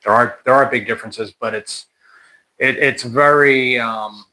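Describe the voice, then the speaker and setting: Bright-voiced American man rambling casually. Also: mid-range voice, steady tone, a little slow, poor audio. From United States. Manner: rambling, frequent restarts and fillers. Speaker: channel host. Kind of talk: casual livestream chat. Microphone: livestream mic.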